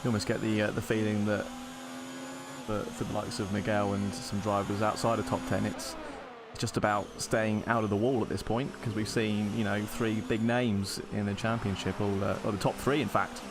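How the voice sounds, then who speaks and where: A young man commentating an online racing league in a relaxed, chatty, self-deprecating way. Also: on the low side, moderate pace, noisy background.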